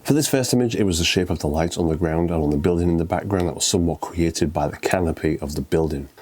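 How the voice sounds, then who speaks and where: A man's voice: deep voice, fast. Stuffy-voiced man with a cold. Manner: relaxed, conversational, a few fillers. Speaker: photography video host. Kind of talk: talking through his photos.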